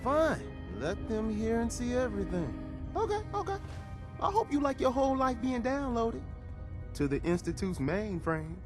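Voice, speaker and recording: Paranoid gadget tinkerer, excitable, conspiratorial, animated pitch, game dialogue, music underneath. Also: male voice, normal speed. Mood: exasperated. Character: jittery, paranoid male resistance tinkerer and gadget maker. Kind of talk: video game dialogue acting.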